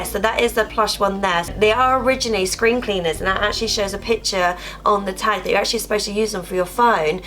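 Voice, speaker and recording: A woman's voice: medium pitch, a bit bright, a little hiss or hum. A woman vlogging casually about her collectibles, chatty and rambling, getting over a cough and coming down with a cold. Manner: chatty, rambling, leans on filler words like "obviously" and "actually". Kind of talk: casual haul vlog.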